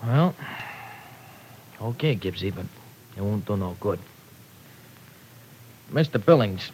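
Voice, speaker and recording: An actor playing a young, wayward streetwise man with rough, slangy, humble talk in an old radio drama. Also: expressive, slow pace, darkish tone. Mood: reluctant. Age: young adult. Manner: slangy, ungrammatical tough-kid talk. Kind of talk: 1940s radio drama acting. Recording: noisy old radio recording with rumble.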